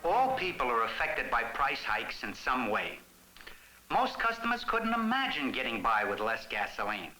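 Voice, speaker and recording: Gas station owner, conversational narrator, animated, mid-pitched, 1970s film soundtrack with music. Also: male voice, normal speed, low-quality recording. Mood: explanatory, animated. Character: chatty gas station owner explaining his business.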